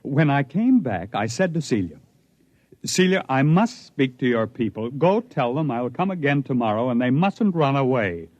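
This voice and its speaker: A man's voice: medium pitch, sing-song, moderate pace, darkish tone, no echo. Dramatic spaceman, vintage radio sound. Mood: grim, determined. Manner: storytelling, dramatic, emphatic. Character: stranded human spaceman recounting his life among aliens, proud, intense. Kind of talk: 1950s radio drama acting. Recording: muffled vintage 1950s radio recording.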